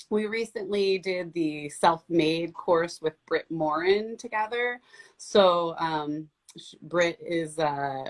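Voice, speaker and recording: A woman hosting an interview, asking questions in a friendly, upbeat way with frequent fillers. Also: sits low. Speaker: interview host. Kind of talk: casual interview.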